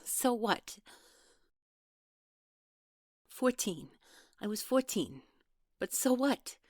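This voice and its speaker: A woman's voice: fairly high pitch, very animated, no echo, exaggerated voice. Woman acting guarded, hesitant young woman, dry close sound. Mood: agitated, defensive. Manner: hesitant, halting, trailing off, nervous laughs. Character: guarded young woman, defensive, awkward, newly in love. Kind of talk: naturalistic one-sided audio roleplay acting.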